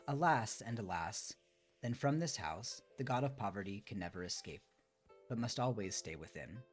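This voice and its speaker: A man's voice: lowish voice, expressive, normal speed, soft background music, close to an everyday voice. A man reading aloud a respectful, humble male servant in a storybook, with formal, deferential delivery. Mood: playful, poetic.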